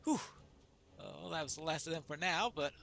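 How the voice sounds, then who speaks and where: A man's voice: fairly high pitch, moderate pace, creaky, a bit bright. A man giving casual commentary as he plays a game, musing mildly to himself. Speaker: gamer narrating a playthrough.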